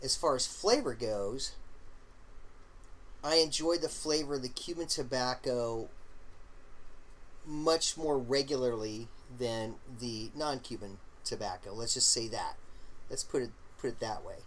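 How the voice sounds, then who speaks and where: A man hosting a casual live stream, rambling along in a halting way with lots of fillers and the odd throat clear. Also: medium pitch, poor audio. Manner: relaxed, opinionated talk about smoking.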